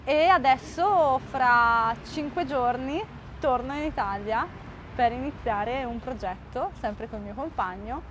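Young Italian woman, bright higher voice. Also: background noise. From Italy. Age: 20s. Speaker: interviewee. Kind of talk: documentary interview. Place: garden outdoors.

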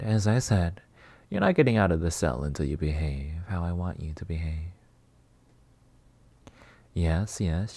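A man playing a flirty, possessive, delusional vampire master in a roleplay ASMR monologue, teasing and theatrical with drawn-out words. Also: low voice, warm tone, dry recording, exaggerated voice. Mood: commanding.

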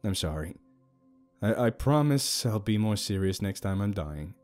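A man's voice: fairly low pitch, lively intonation, unhurried, darkish tone, faint music behind. A man playing a wry, candid young man, the casual and sardonic hero of an audio story. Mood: sheepish.

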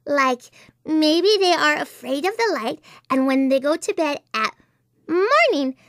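A woman's voice: high-pitched, big pitch swings, a little slow, fairly bright tone, dry recording, clean studio sound, heavily acted. A woman voicing an imaginative, anxious little girl in a video game, with an earnest, dramatic, childlike delivery. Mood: musing. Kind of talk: video game character voice acting.

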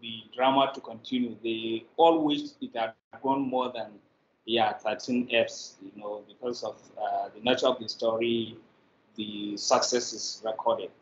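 A man's voice: medium pitch, steady tone, measured pace. A middle-aged man with a Nigerian accent, answering interview questions on a video call from a room indoors. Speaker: interview guest, media producer. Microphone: video call, device mic. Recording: echoey, dull, noisy video call.

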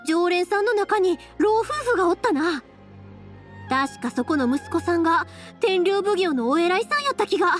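A cheerful, energetic young woman from an anime game, speaking in a Kansai accent. Her voice is high and very animated, caring and quick to reassure, with faint music behind it. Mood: determined, reassuring.

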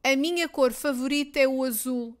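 A woman teacher with a European Portuguese accent, reading simple phrases twice each, clearly and deliberately, for learners. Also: high-pitched. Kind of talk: slow repeated phrase drills for learners.

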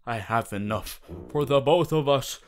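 A man voicing a boisterous, cheery young superhero student in an audio roleplay, halting at times and theatrically heroic. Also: soft background music, lightly acted. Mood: earnest, upbeat. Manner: halting, theatrical, breaks into booming heroic declarations. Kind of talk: ASMR audio roleplay to a listener.

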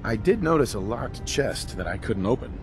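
A calm wasteland adventurer in a video game. His voice is very deep, his manner polite, plain and low-key. Music is clearly audible behind him. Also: normal speed.

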